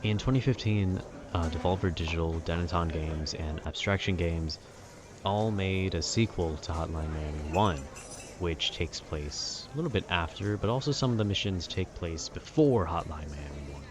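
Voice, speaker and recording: A man in a calm, casual, nerdy ramble about video games, meandering and often interrupting himself. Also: low-pitched, normal speed, crowd noise. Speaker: solo audio creator rambling to the listener. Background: urban ambience.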